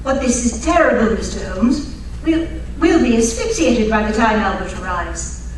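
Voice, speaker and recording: Woman doing worried posh lady client in old radio drama, high voice, lots of exclaiming, far from mic. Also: animated, moderate pace, lightly acted. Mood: alarmed. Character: anxious, bewildered aristocratic lady client. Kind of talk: old-time radio mystery acting. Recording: very echoey hall, distant mic, faint noise.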